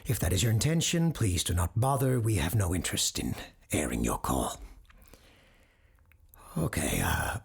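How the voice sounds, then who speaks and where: A very husky, breathy male radio host, low in pitch yet lively in intonation. He is a formal, grave parapsychologist in an audio drama, measured and a little defensive, speaking over music. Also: heavily acted. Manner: formal, measured, earnest with dry hesitations. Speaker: host of a supernatural call-in show.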